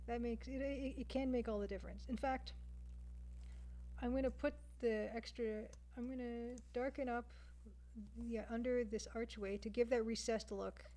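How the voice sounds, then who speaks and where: A woman's voice: fairly high pitch, fairly even intonation, moderate pace, dark tone. A woman with a Canadian accent chats casually to stream viewers, thinking aloud as she draws. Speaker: livestreamer, game artist. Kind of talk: casual chat with stream viewers while drawing.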